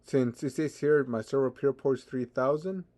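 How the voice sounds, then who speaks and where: A man giving an unscripted software tutorial in a halting way, often stammering and repeating his words as he goes. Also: mid-range voice. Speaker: tutorial presenter.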